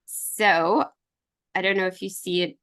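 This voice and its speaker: A woman's voice: medium pitch, steady tone, unhurried, fairly bright tone, clean tone. A woman from Ukraine giving an informal, enthusiastic online business presentation in a conversational way. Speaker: presenter pitching her herbal supplement line. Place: online video call with screen share.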